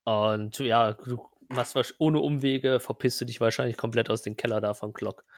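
A man runs a tabletop role-playing game as its game master. He builds his descriptions haltingly, addressing the player directly in an informal way. His voice is close and dry, with hardly any room sound. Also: mid-range voice, fairly even intonation, no echo.

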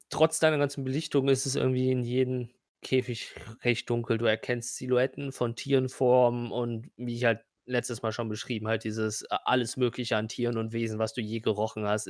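A man's voice: mid-range voice, no room sound, clean studio sound. A man narrating an improvised tabletop role-playing game casually as game master, often rephrasing his descriptions as he goes.

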